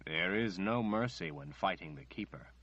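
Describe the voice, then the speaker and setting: A grave older prophet speaks in a deep, husky voice, as a male narrator gives it. He is solemn and will not give in, heard on a dull, lo-fi recording. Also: lively intonation, normal speed, fairly bright tone, exaggerated voice.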